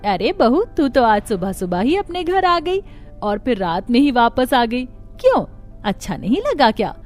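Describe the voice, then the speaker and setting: Woman acting a sly, high-voiced older mother-in-law. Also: very animated, measured pace, a little mellow, soft background music. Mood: demanding. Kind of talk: Hindi cartoon story dialogue.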